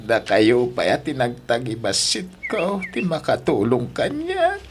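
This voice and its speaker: A man's voice: medium pitch, big pitch swings. A man acting a frail, ailing old father in an audio drama, speaking weakly and tearfully, full of remorse. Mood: weak, remorseful. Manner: weak, halting, tearful delivery. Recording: music behind the voice.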